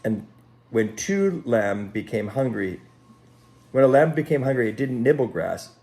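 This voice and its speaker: A man reads a Sunday school story for children with a very lively, swooping delivery. His pitch sits in the middle for a man, and he is expressive and dramatic, often addressing the young listeners directly. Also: measured pace, creaky. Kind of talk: animated children's story read aloud with asides. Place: at home.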